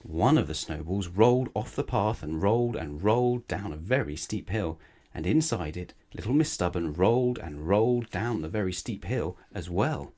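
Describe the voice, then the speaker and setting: A man with a low voice reads a picture book aloud for children. His delivery is expressive, in the manner of a bedtime storyteller. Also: normal speed. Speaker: storybook reader.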